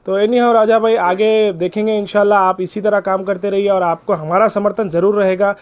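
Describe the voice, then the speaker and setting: A man's voice: high-pitched, steady tone, normal speed. A man making an earnest, persuasive appeal on a recorded phone call, polite and deferential, repeating himself for emphasis. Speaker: caller.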